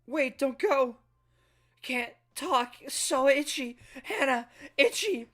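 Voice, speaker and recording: A man's voice: very high-pitched, takes it slowly, fairly bright tone, pitched above the performer's own voice, heavily acted. A man voicing a terrified young manga boy who gasps, stammers and pleads through tears. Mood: desperate pleading. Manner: panicked, gasping, stammering cries.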